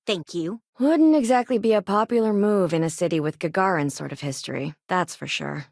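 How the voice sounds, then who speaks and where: A woman's voice: fairly low pitch, fairly quick, gravelly, a little mellow, dry recording. An actress playing a no-nonsense, weary but caring woman doctor in a video game, speaking in a dry, conversational way. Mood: measured, wry. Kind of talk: scripted game dialogue lines.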